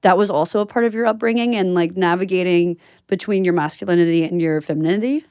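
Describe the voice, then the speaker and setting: American woman podcast host, chatty and expressive, casual chat, really dry sound. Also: fairly low pitch, fast talker, smooth, no room sound. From Tennessee, United States. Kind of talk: casual conversational interviewing.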